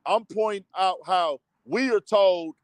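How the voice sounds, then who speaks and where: A high-voiced man talks straight to his viewers in a casual commentary style. He keeps putting rhetorical questions to them. The sound is dry and close, with no room echo. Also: takes it slowly. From United States. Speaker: commentary show host.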